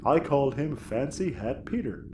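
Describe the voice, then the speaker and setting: A man's voice: medium pitch, animated, moderate pace, dark tone, reverberant, slight background noise, close to an everyday voice. An actor playing a childlike, dim but cheerful crewman in a sci-fi audio comedy, naive and earnest. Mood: fond, earnest.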